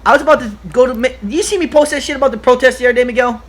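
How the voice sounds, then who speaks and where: Dude from hawaii with a high voice, rambly and cussing a lot, fired up. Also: male voice, racing. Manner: excitable, profane, rambling. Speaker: podcast guest. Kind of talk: casual podcast chat.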